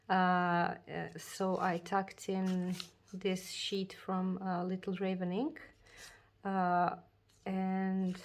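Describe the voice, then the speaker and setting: A woman chatting casually about her journals and planners, talking slowly with many fillers and restarts, her voice a bit froggy from a cold. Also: fairly low pitch, slight background noise. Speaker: journaling video creator. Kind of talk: casual chat showing a planner.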